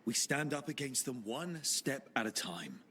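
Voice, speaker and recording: An actor playing a young, earnest, resolute hero and leader in a video game, speaking plainly and steadily. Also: male voice, mid-range voice, expressive, measured pace, pushed and bright, slight background noise. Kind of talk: dramatic video game acting.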